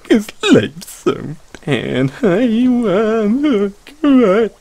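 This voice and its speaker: A man voicing a bitter, tormented frog mascot in an audio roleplay, with a halting, tearful, self-pitying delivery. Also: high-pitched, very animated, a little mellow, exaggerated voice. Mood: mournful singing. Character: weepy, bitter, tormented frog mascot haunting an abandoned amusement park. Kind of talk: audio roleplay monologue with mournful sung counting rhyme.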